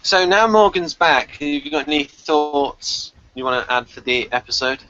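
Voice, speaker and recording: A man hosting a casual TV review chat, halting and repeating himself as he goes, on a lo-fi home recording. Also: fairly high pitch, animated, sharp tone, dry recording. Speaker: podcast review host.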